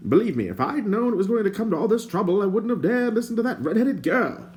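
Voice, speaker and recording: A man's voice: sits high, big pitch swings, quick pace, a little mellow, a little hiss or hum, pitched above the performer's own voice. A man voicing a wordy, theatrical male bard in an audiobook, courtly and self-mocking. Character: chatty, flowery wandering male bard.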